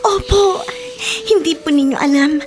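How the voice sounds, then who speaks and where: Very high-pitched young Filipina daughter. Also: female voice, unhurried, darkish tone, slight background noise. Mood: apologetic, earnest. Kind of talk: radio drama acting. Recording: clear music bed behind voice.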